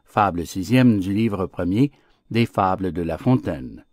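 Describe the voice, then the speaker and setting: A man's voice: deep voice, lively intonation, measured pace, a little mellow, dry recording, clean studio sound. A man narrating fables for an audiobook in a theatrical, scripted storytelling style.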